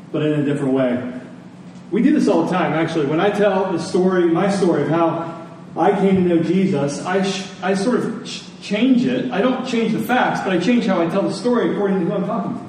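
A man's voice: high voice, a little fast, darkish tone. A man preaching a sermon in a conversational teaching manner, with repetition and rhetorical questions. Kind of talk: sermon to a Sunday congregation. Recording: echoey room, distant mic, faint noise.

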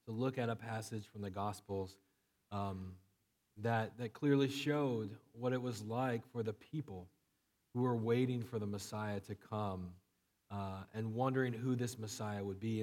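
A man preaching a sermon while he has a cold. His voice is low and flat, and he talks conversationally with many fillers and restarts. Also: normal speed, big-room sound. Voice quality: low, monotone voice. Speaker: pastor.

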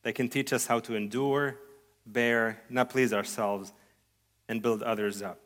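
An adult man delivering a sermon at a mid-range pitch. He speaks earnestly and deliberately, lifting his voice on key phrases and letting sentences fall away softly at the end. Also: steady tone. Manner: earnest, measured preaching with sweeping rises and soft trailing endings. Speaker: pastor.

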